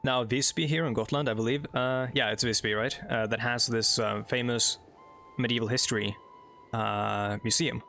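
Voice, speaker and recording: A man's voice: mid-range voice, fairly even intonation, normal speed, creaky, pushed and bright, faint music behind. A young man from Norway with a Norwegian accent, English not his first language, rambling casually through gameplay commentary with lots of fillers. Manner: rambling, filler-heavy, chatty asides while reading game text. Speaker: let's play host.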